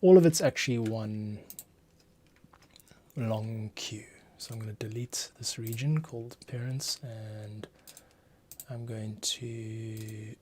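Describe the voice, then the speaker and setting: Low-pitched man thinking aloud while working. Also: fairly even intonation, dark tone, slightly rough. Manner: muttering to himself, pausing while working. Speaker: composer, streamer host. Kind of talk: casual thinking-aloud screencast tutorial. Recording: faint noise, keyboard and mouse clicks. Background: typing, mouse clicks.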